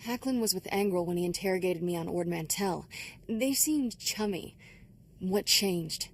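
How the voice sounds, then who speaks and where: An actress playing a spirited, guarded young Jedi apprentice in a video game, speaking bluntly with a wry edge. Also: female voice, lowish voice, normal speed, a little hiss or hum, lightly acted. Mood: suspicious. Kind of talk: dramatic video game acting.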